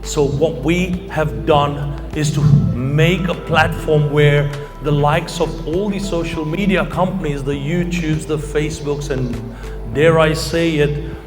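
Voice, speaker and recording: A middle-aged man from Kenya giving an informal, emphatic pitch to an audience as a company founder. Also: slow pace. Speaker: company founder and keynote speaker. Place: large hall, speaking to an audience. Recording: long hall reverb, music behind. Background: music.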